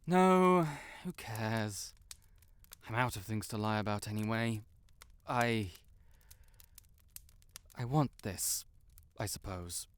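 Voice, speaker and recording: A man playing a proud, flustered young wizard in an intimate fantasy audio roleplay, halting and defensive yet earnest. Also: fairly low pitch, smooth. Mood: resigned, tender. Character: proud, stuck-up young male wizard, flustered tsundere best friend in love.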